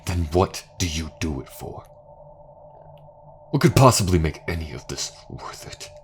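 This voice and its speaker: A man's voice: deep voice, sing-song, unhurried. A man playing a vengeful, tormented man who threatens his enemy with seething menace in an audio drama. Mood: angry, demanding. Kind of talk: dramatic audio roleplay acting. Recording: clearly noisy background ambience.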